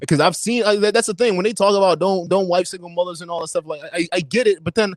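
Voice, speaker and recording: A man with a Nigerian accent hosts a casual podcast. He talks fast and fairly high, stumbling over repeated words as he agrees and adds his own point, and his voice is recorded close and dry. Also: fairly even intonation, dry recording. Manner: quick, stammering, agreeable. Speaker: podcast host.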